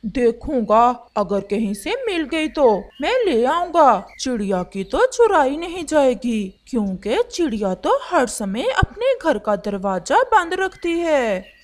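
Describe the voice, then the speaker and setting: A woman voicing a scheming male crow husband in a cartoon story, sly, drawn-out and eager to please. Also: sits high, sing-song, heavily acted. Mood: hesitant, amused.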